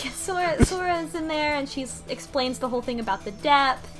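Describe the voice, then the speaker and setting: A woman with a high voice talks as a podcast co-host. She retells a story informally, slipping into quoted dialogue. Music plays clearly behind her. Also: animated, moderate pace, a bit bright. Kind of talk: casual recap of a game story.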